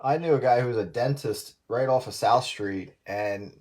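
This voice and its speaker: A man's voice: medium pitch, normal speed, very noisy. An American man chatting casually and sharing short anecdotes. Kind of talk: casual chat.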